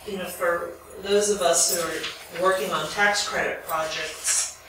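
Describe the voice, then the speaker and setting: An American woman in the audience asking a long, spontaneous question in a halting, deliberate way. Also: lowish voice, steady tone. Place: echoey lecture room. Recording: very echoey room, distant mic, faint noise.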